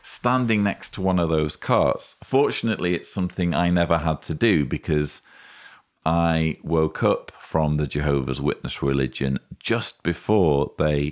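Low-pitched, slow-talking man giving commentary. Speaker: host. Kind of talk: commentary, rebuttal to camera. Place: indoor study lined with bookshelves. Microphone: studio microphone on boom arm, close.

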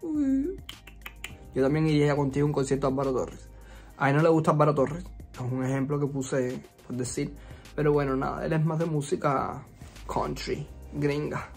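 A young man from Cuba with a Cuban Spanish accent and a notably high-pitched voice. He talks in a chatty, expressive way, full of fillers and playful sass, like a vlogger telling a story. Faint music plays underneath. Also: normal speed, gravelly. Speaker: YouTuber. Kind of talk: casual storytime vlog. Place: resort vacation rental.

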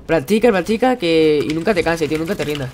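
A man's voice: fairly high pitch, animated, fast talker. Young Spanish male streamer, keyboard clatter. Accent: Spain. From Spain. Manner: friendly, encouraging, slangy. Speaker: game streamer, host of one-on-one matches. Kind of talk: casual live gameplay chat. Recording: clear background noise, keyboard clicks.

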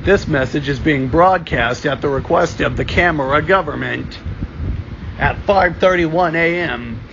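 A man reading amateur mock emergency broadcast announcements in a formal, deliberate way, sometimes stumbling and repeating phrases. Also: fairly high pitch, creaky. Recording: dull lo-fi sound with loud ambient hum.

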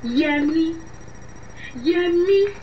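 A very high, pleading young woman's voice, acted by a woman with a Nigerian accent. She is panicky and breathless in a radio drama, heard a little far from a muffled mic. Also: animated, drawn-out words, exaggerated voice. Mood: accusing. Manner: breathless, pleading, repeating herself. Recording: muffled distant mic with faint noise.

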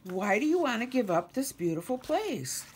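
A woman's voice: on the high side, sing-song, moderate pace, slightly rough, pitched above the performer's own voice. A woman voicing an earnest male frog who worries about his home, for a children's storybook. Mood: alarmed, questioning.

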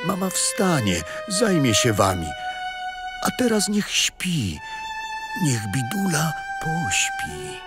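An actor playing a gruff but soft-hearted old uncle, folksy and grumbling tenderly, in a children's radio play. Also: male voice, on the high side, wildly swooping pitch, slow, over loud music, full character voice.

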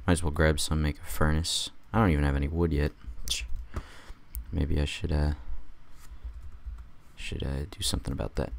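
A man just out of bed with a raspy voice, rambling through a casual let's-play commentary with wry jokes. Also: rumbling low, level tone, moderate pace, pauses often. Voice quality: raspy, gravelly. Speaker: gamer doing a let's play.

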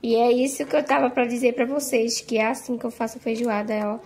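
A woman's voice: fairly high pitch, steady tone, quick pace, a little mellow, slight background noise. A woman chattily walking through a home recipe in her kitchen, often checking in with the viewers. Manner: chatty, tags phrases with "tá?" and "gente". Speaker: home cook vlogger. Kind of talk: casual cooking narration.

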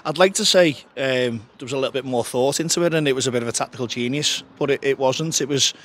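A man, a football manager giving a frank, chatty post-match interview outdoors by the pitch into a handheld mic, often restarting his sentences. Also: fairly quick, fairly bright tone. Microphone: handheld interviewer mic held close.

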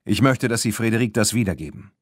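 Man reading a stern, fair marquis, lowish voice. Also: expressive, quick pace, husky, dry recording, studio quality. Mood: stern, firm. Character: stern but fair male marquis, authoritative aristocrat. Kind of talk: audiobook character reading.

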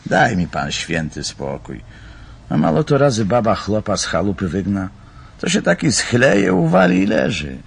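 An actor playing an evasive, scornful engineer at the wheel in a radio drama, talking in a colloquial, mocking way. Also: male voice, lowish voice, very animated, moderate pace, creaky, slightly rough, exaggerated voice. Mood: mocking, dismissive. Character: evasive, scornful male engineer and driver brushing off worries. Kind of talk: naturalistic Polish radio drama acting.

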